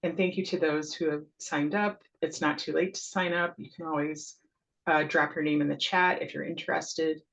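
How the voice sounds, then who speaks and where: Woman host for a poetry reading on a video call, lowish gravelly voice, welcoming with lots of ums. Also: steady tone, normal speed, poor audio. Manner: warm, welcoming, with frequent ums. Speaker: host and town poet laureate. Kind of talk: event introduction over video call.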